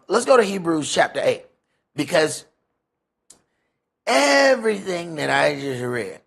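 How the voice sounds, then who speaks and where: An American man leading a Bible study, preaching off the cuff in an emphatic way with repeated phrases and rhetorical questions, his voice rough and raspy. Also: fairly high pitch, fairly bright tone. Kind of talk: sermon, extemporaneous teaching.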